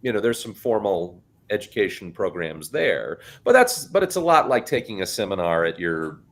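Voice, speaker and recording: A middle-aged man co-hosting a podcast in casual chat, talking in a big loud voice. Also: low voice, normal speed. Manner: animated, self-described loud talker with a big voice. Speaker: podcast co-host.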